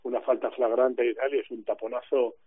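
Tinny dry phone line, man, basketball analyst, mid-pitched voice, casual discussion. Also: level tone, a little fast, slightly rough, no room sound.

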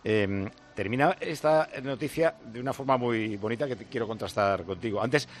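A man's voice: mid-range voice, normal speed. A man with a Spanish accent from Spain hosting a radio show, chatting casually and interviewing in an informal, teasing way.

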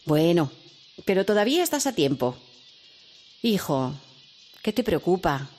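An actress playing a caring, worried mother who speaks gently and soothingly to her son. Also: fairly low pitch, big pitch swings, unhurried, faint music behind. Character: caring worried mother, middle-aged woman. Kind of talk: radio drama acting.

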